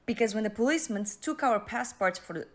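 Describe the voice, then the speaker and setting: A woman's voice: a little high, expressive, fast talker. A woman from Portugal with a Portuguese accent, casually telling a story in English to camera.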